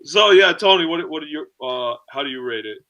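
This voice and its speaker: A man hosting a casual movie chat in an informal, halting, easygoing manner. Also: fairly high pitch, lively intonation, bright, forceful tone, low-quality recording. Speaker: podcast host.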